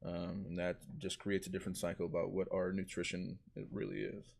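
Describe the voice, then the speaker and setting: Very deep, flat-voiced man. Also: a little fast, creaky. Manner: earnest, many fillers and "you know what I mean". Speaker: guest. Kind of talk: casual live talk.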